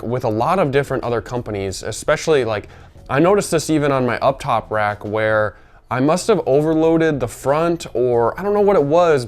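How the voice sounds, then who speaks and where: A man with a gravelly voice, sick and under the weather, chatting casually in a product review vlog. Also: medium pitch. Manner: chatty, rambling with fillers. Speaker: vehicle gear reviewer.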